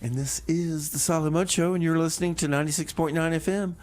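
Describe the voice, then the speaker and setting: A man's voice: a little high, fairly even intonation, quick pace, a little mellow, natural voice. A man playing an eager, stubborn doomsday survivor and radio host in a casual comedy show, rambling and repeating himself.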